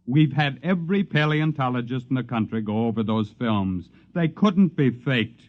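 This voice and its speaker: A man's voice: medium pitch, lively intonation, moderate pace, dry recording, natural voice. Actor as insistent male researcher, earnest; dry, lo-fi vintage radio. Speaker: Les, project advocate. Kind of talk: 1950s radio drama acting. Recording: dry lo-fi 1950s radio broadcast.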